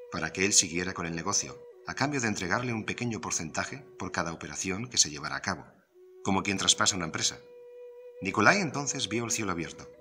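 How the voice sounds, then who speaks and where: Deep-voiced man narrating a mystery story. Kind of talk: scripted narration of an audio drama novel.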